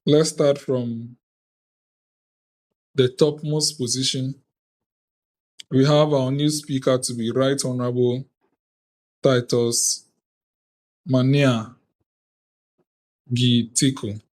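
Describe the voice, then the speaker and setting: A Ghanaian man speaks over a video call, addressing an online youth parliament in a formal ceremonial manner. He talks slowly and deliberately, with an unhurried, careful pace. His voice sits at an ordinary middle pitch. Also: little pitch movement, darkish tone. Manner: deliberate, measured, reads out lists of names.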